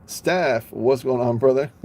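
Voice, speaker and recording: A man's voice: medium pitch, slow pace, darkish tone. A man chatting casually on a live stream, playful, laughing often and stumbling over names.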